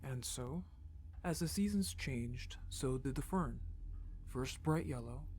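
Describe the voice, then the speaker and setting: A man's voice: medium pitch, expressive, slow pace, slight background noise. A man playing an anxious young rebel. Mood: storytelling. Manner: soft storytelling, turns urgent and upset. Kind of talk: audio drama acting.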